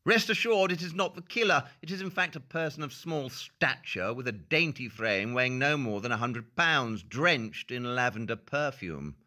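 A theatrical, shrewd detective, very animated. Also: male voice, medium pitch. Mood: self-assured. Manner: theatrical, deliberate, precise diction. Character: shrewd, self-assured male detective. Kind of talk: audio play acting.

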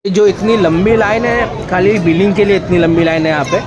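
A man's voice: high voice, fairly even intonation, fairly quick, muffled recording. Chatty young man vlogging in a mall. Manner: chatty, complaining about crowds and traffic. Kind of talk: casual vlog narration. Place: car in traffic, then crowded shopping mall. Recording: loud crowd and street noise.

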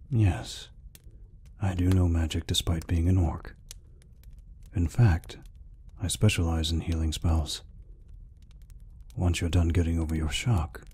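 A man voicing a gentle, peaceful orc healer in an ASMR roleplay, speaking softly and reassuringly without hurry. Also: slight background noise. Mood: gentle, caring. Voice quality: deep, husky, breathy. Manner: soft, unhurried, reassuring. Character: gentle, peaceful male orc healer, soft-hearted caretaker.

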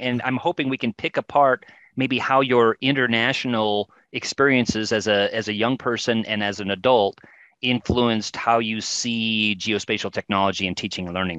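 A man's voice: mid-range voice, fairly bright tone, no room sound. A man hosting a podcast, asking conversational interview questions on a slightly lo-fi recording. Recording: dull, dry lo-fi audio.

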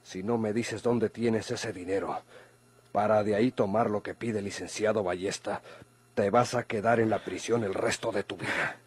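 A stern, crooked Mexican lawyer from an old radio drama. His voice is low and husky. He speaks with commanding authority, like one used to accusing and being obeyed. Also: fairly even intonation, moderate pace, no echo. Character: stern, corrupt lawyer squeezing a prisoner for money.

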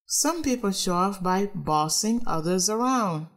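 A woman's voice: medium pitch, lively intonation, measured pace, a little hiss or hum. A woman doing a teaching read-aloud, patiently explaining and often checking understanding with questions. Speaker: adult reading a book with a child.

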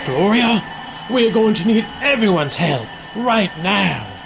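A man's voice: sits high, very animated, slow, gravelly, background noise, heavily acted. A man playing a solemn, doting father figure in a radio comedy. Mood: solemn, worried.

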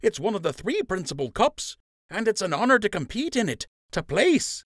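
Guy putting on an eager young rabbit buddy for an audiobook, pitched way up, pushy and teasing, bouncy delivery. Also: sing-song, normal speed, a bit bright, no echo, studio quality, full character voice. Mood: insistent. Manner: urgent, insistent, teasing. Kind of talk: audiobook character acting.

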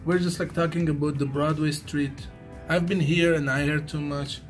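Man, thirties, fairly high voice, playful casual chat, music faint behind. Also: fairly even intonation, normal speed. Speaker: Fulbright student.

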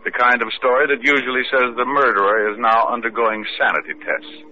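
A man's voice: medium pitch, steady tone, a little fast, sharp tone. A man playing a wisecracking detective who narrates and questions witnesses in an old radio mystery. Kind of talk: 1940s radio acting. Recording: tinny old radio recording with faint hiss.

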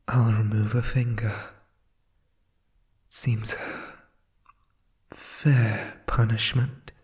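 A man's voice: medium pitch, a little mellow. A man playing a sadistic, doting demonic father in an ASMR horror roleplay, whispering with sinister mock tenderness.

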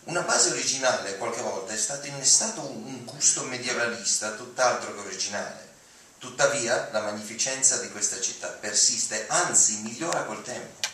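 A man's voice: medium pitch, moderate pace, pushed and bright. A young man giving a dramatic reading of written text at an auditorium podium. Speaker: reader. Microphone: podium mic, recorded from audience. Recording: very echoey hall, distant, noisy.

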